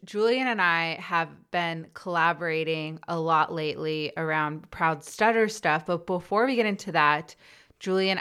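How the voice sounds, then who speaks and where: A woman's voice: lowish voice, fairly bright tone, clean tone. A woman hosting a podcast interview, speaking with a stutter that repeats sounds and blocks on words. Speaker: podcast host.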